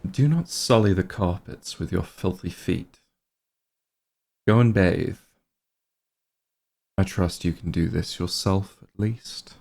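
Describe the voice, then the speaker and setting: A man playing a cold, possessive, centuries-old vampire lord in an ASMR roleplay, speaking in a hushed, gravelly, imperious and disdainful way. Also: low-pitched, heavily acted. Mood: cold, commanding, then disdainful, irritated. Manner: imperious, disdainful, measured and commanding. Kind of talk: ASMR roleplay, scripted dramatic monologue.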